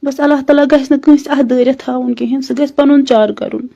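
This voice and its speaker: Muffled high-voiced Kashmiri woman, pleading. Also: steady tone, moderate pace, vocal fry, dark tone. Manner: pleading, repeating requests. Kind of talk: personal appeal in a recorded message. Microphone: phone. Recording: muffled lo-fi phone recording.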